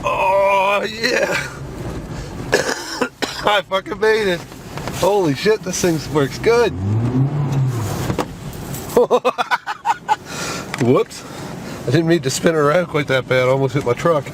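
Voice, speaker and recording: A man with a cold, stuffed up and hoarse, chatting casually, swearing and laughing while he drives his truck through snow. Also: on the high side, animated, drawn-out words, long pauses. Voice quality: hoarse, scratchy. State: stuffed up with a cold, voice going froggy. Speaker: vlogger. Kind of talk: casual rambling vlog while driving. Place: in a vehicle, driving in snow. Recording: loud vehicle and road noise.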